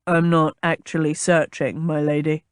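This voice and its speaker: A woman voicing a stiff, pompous male captain in an audiobook, with a low growly tone and a clipped, exasperated, formal manner. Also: little pitch movement, moderate pace, no echo, studio quality, close to an everyday voice. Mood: flat, suspicious. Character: gruff, pompous man, a stiff captain and bodyguard.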